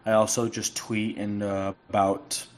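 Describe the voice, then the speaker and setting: Male podcast guest, lowish voice, halting and full of restarts, remote call line. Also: level tone. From United States. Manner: halting, with frequent restarts and fillers. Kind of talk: casual podcast chat. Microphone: remote call audio that cuts in and out.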